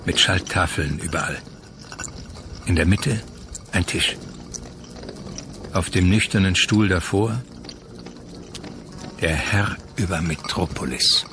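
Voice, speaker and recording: A man's voice: deep voice, slow, long pauses, background noise. A man reading literary prose as the narrator of a dramatic audio piece. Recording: music under the voice. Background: music.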